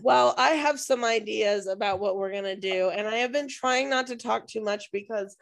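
A woman co-hosting a podcast, hoarse and losing her voice, telling a story in a rambling, self-interrupting way. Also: fairly high pitch, moderate pace. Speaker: podcast co-host and music teacher. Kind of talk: casual podcast chat.

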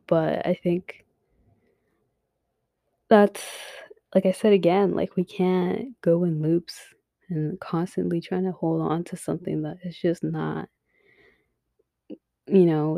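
A young woman gives frank, swear-laced advice as a podcast host, often slipping into a whisper. Her voice is low and mellow, and it sounds worn as if she is losing it. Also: gravelly, no room sound, studio quality. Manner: frank, swears, many fillers, drops to a whisper. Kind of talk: casual advice monologue.

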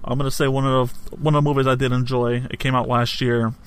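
A man's voice: medium pitch, level tone, quick pace, clean tone. A man hosting a podcast in casual chat, informal and given to repeating his phrases. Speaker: podcast host.

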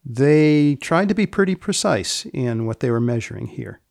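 Man, podcast host, creaky mid-pitched voice, dry studio sound, deadpan, deliberate phrasing, explanatory commentary. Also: dry recording.

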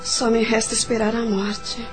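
A woman's voice: on the high side, level tone, normal speed, over loud music, lightly acted. An actress playing a frail, melancholic young woman in a radio drama, mournful, sighing and resigned to dying. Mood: bitter resignation.

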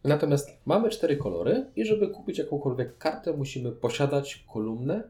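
A man's voice: a little high, very animated, a little fast, warm tone, reverberant, soft background music. A man co-hosting a board game podcast, explaining things in detail in a conversational way. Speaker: podcast co-host. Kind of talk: board game review chat.

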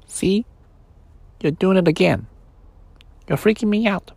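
A timid little plush bedtime creature, as a man puts it on, his voice pushed up high and small. He frets and whines in a worried, nervous way. He sounds close to the mic, with no room around him. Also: a little slow, gravelly, a little mellow, breathy edge, no echo, heavily acted. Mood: indignant. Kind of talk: storybook read-aloud.

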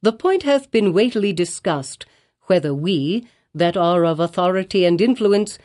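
A woman's voice: medium pitch, mellow. A woman voicing a stern, pompous elderly male colonial governor in archaic speech.

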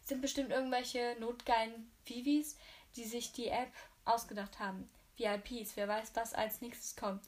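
A scornful, easily annoyed young woman in a novel, as a woman reads her aloud. Her slightly high voice is curt and contemptuous. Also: little pitch movement, normal speed, natural voice. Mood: disgusted.

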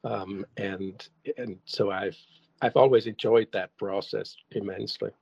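A man's voice: fairly low pitch, fairly even intonation, unhurried, gravelly, a little mellow, husky, no echo, muffled recording. A man whose first language is German, a songwriter answering interview questions casually, hesitant with many restarts and fillers. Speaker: songwriter and guest.

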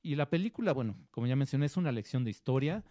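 Mellow-voiced man talking films. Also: mid-range voice, lively intonation, very fast, no room sound, studio quality. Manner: conversational, acts out quotes. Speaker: co-host film critic. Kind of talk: casual film review chat.

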